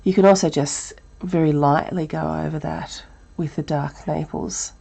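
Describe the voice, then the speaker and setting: A woman with an Australian accent, teaching a relaxed live drawing lesson while thinking aloud, her voice croaky and breathy from a chest cold. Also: low-pitched, measured pace. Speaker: art teacher.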